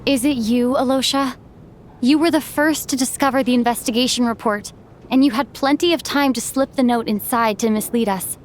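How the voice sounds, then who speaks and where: Woman as high-voiced, earnest young sleuth. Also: steady tone, slight background noise, lightly acted. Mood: accusing, deliberate. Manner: deliberate, reasoning step by step. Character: earnest young female sleuth, thoughtful and determined. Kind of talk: dramatic game dub acting.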